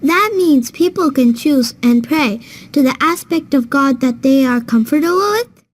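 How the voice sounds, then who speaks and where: A woman acting as a young child in an educational cartoon, with a high voice. The character is eager and curious, asking questions with wide-eyed wonder. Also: lively intonation, measured pace.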